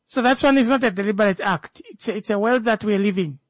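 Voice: medium pitch, fast, no room sound, clean studio sound. A speaker with a Namibian accent who grew up speaking a local language, talking off the cuff in an emphatic way and repeating phrases for stress. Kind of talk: unscripted discussion.

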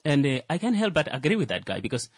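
A man co-hosting a casual talk show, explaining slowly with many fillers and restarts. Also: on the high side, sing-song, no room sound, clean studio sound. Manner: hesitant, full of "uh" and restarts. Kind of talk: casual panel discussion.

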